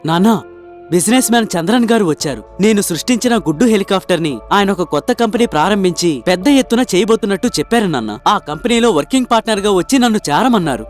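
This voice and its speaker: A man plays an earnest young inventor in a cartoon moral tale. His voice sits high for a man and he explains himself with hopeful sincerity. Faint music plays underneath. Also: animated, fast talker. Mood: excited. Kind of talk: dramatised cartoon moral story dialogue.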